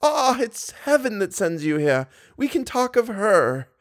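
A man's voice: high-pitched, very animated, unhurried, voice pushed up. A man playing a sweet, childlike old lady. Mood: gentle. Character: kindly old bedridden woman, childlike and serene.

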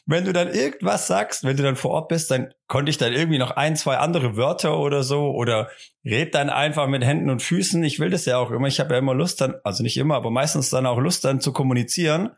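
A man's voice: medium pitch, quick pace, creaky, slightly rough. A man co-hosting a casual remote podcast, rambling and joking, often breaking off mid-sentence. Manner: rambling, self-interrupting, joking. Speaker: podcast co-host.

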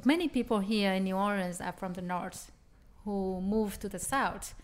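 Vietnamese-accented woman answering thoughtfully. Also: mid-range voice. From northern Vietnam. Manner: thoughtful, halting, with restarts. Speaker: guest, public health researcher. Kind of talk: interview answers.

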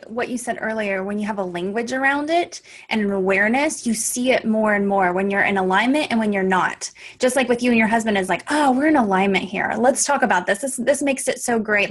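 Encouraging woman podcast host. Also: a little high. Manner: agreeable, encouraging. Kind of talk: casual podcast conversation.

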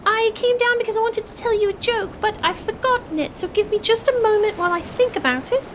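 A woman voicing a small, polite, earnest adventurer in a tabletop game, halting and stalling as if nervously bluffing. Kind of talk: in-character tabletop role-play. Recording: steady low background rumble and hiss.

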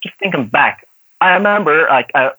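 A man's voice: fairly high pitch, lively intonation, moderate pace, pushed and bright, slightly rough, no room sound, low-quality recording. A man in his thirties with a light, mixed Irish accent, whose first language was Cantonese. He is a podcast guest who rambles through his answers with many fillers and laughs often. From Dublin, Ireland. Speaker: guest, actor and martial artist. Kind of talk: casual podcast interview.

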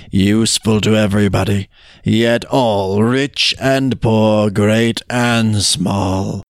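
A man voicing a world-weary, resigned road in a storybook tale, with heavy, drawn-out words. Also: on the low side, no echo, clean studio sound. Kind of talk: storybook character reading.

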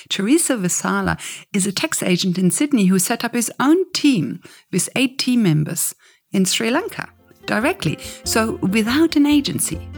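A woman hosting a podcast, introducing the episode and asking curious, brisk questions. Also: mid-range voice. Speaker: podcast host. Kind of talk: hosting and interviewing.

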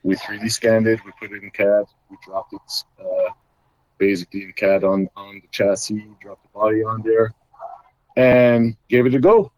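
A man's voice: lowish voice, fairly even intonation, moderate pace, darkish tone, dry recording, poor audio. A middle-aged man from Belgium, a guest explaining his custom Jeep builds in casual talk, with many fillers and restarts.

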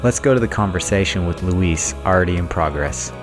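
A man hosting a podcast, giving a measured, explanatory introduction in a scripted style. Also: deep voice, animated, music in the background. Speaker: podcast host.

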